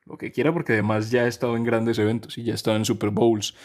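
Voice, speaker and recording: A man's voice: medium pitch, flat delivery, quick pace. A man, talking casually and at length about music, rambling with many restarts and fillers. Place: home during a power cut.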